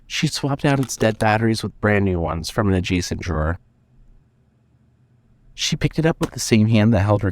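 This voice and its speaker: A man's voice: fairly low pitch, darkish tone. A man narrating scripted suspense fiction as the storyteller of an audio drama.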